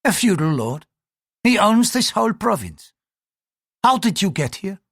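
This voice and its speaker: An audiobook reader voicing an irritable, fanatical Portuguese priest who speaks in an impatient, accusing, forceful way. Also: mid-range voice, big pitch swings, measured pace, husky, no echo, clean studio sound, voice pushed up, full character voice. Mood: irritated, stern.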